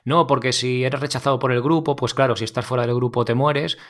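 A man's voice: medium pitch, fairly even intonation, fairly quick. A man with a Spanish accent from Spain interviews casually, in an informal, hesitant way with rambling questions. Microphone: remote online call.

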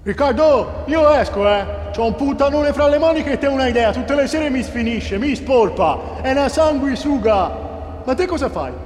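An actor playing a debauched, boastful royal brother, crude and teasing, in a comic radio play. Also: male voice, very high-pitched, lively intonation, normal speed, darkish tone, pitched above the performer's own voice. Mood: crude bragging. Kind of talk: comic radio theatre dialogue. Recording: very echoey hall with faint noise.

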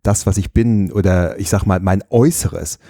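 A man's voice: low voice, moderate pace, gravelly, mellow, dry recording, studio quality. A man from northern Germany, a guest illustrator and painter, answering reflectively in long winding sentences and searching for words. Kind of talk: casual interview. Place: studio.

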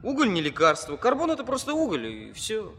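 A man's voice: high-pitched, huge pitch swings, a little fast, a bit bright, heavily acted. An actor in a Russian radio play playing an earnest, stubborn teenage boy who talks bluntly and contrarily. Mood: contrary, matter-of-fact. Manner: blunt, contrary, literal-minded. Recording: hissy old radio play, long reverb, ship ambience.

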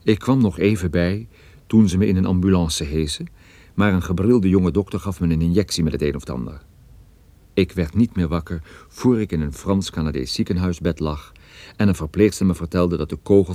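An actor playing a hard-boiled private detective who narrates a radio play in a dry, wry, laconic way. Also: male voice, low voice, brisk, dark tone, no room sound. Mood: wry, tense. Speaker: narrator and protagonist.

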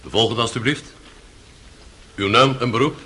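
A man's voice: mid-range voice, moderate pace, bright, forceful tone, natural voice. A man acting in a radio drama as a stern, formal, officious court usher.

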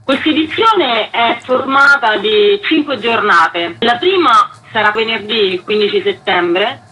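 A woman's voice: on the high side, unhurried, bright, forceful tone, rough recording. A woman speaking as a festival curator in a radio interview, explaining things in a conversational way.

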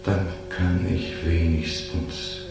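A man acting in a 1940s radio play as a dead man haunting his murderer, solemn, halting and accusing. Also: low voice, steady tone. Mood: eerie calm. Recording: old radio recording, heavy echo, loud music.

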